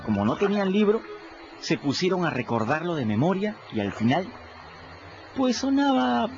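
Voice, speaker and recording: An actor playing a lively runaway boy who tells his river adventures colloquially in a radio drama. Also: high-pitched, moderate pace, lo-fi sound. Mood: storytelling. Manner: colloquial, animated storytelling. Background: music.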